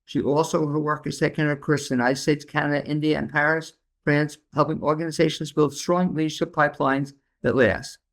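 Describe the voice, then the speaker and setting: Gravelly-voiced male podcast host. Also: fairly high pitch, steady tone, a little fast, hardly any pauses, a bit bright. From New York City. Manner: easygoing, loose phrasing with fillers. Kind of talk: casual interview.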